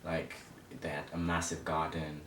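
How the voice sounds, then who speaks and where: A man in his early twenties from Zimbabwe speaks in a low, fairly monotone voice. He tells his story informally, pausing and feeling for words as he goes. The recording is echoey and distant, with rumbling noise behind him. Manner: hesitant, halting, informal. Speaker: interview guest. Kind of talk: casual personal storytelling.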